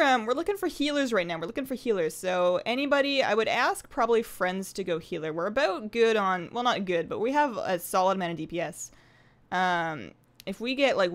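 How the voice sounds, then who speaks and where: Bubbly young woman streamer chatting casually. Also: mid-range voice. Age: 20s. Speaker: game streamer. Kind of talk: casual live stream chat while gaming.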